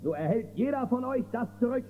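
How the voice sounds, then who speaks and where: A man's voice: very high voice, animated, brisk. An actor playing a heroic, confident space western lawman in a children's audio drama, a man's voice. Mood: reassuring. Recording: tinny, noisy old cassette audio drama.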